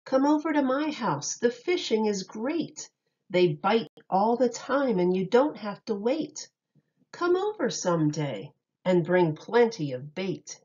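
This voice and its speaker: Woman reading to children, slow and very expressive. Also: mid-range voice, lo-fi sound. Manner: sing-song, expressive, clearly phrased for young children. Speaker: teacher reading a picture book aloud. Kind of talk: read-aloud of a rhyming children's story.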